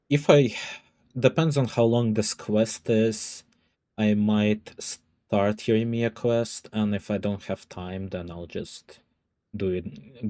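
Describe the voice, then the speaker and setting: A man's voice: on the low side, a little mellow, no room sound. A man livestreaming a game with casual, dry, sardonic commentary.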